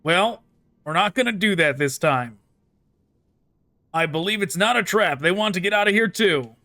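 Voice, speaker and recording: A man's voice: sits high, expressive, normal speed, sharp tone, no echo. A man hosting a gaming video, casually commenting on his playthrough and reading dialogue choices aloud.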